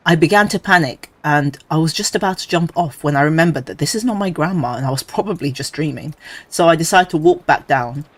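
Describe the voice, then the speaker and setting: A male streamer reading creepy stories aloud, with relaxed, chatty asides between them. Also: a little high, fairly quick, no room sound.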